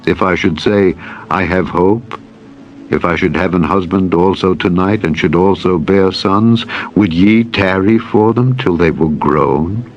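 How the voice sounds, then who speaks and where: A man reads the part of a grieving, embittered old widow in a dramatized scripture story. The voice is very low, solemn and sorrowful, on a slightly dull recording with faint music. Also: unhurried. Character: grieving, bitter older widowed mother-in-law.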